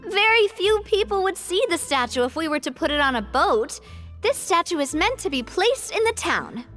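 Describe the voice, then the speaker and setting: A woman's voice: extremely high pitch, sing-song, moderate pace, fairly bright tone, soft background music, full character voice. An actress playing a confident young woman who leads a guild, decisive and upbeat, in a game cutscene. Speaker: guild leader. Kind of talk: video game cutscene dub.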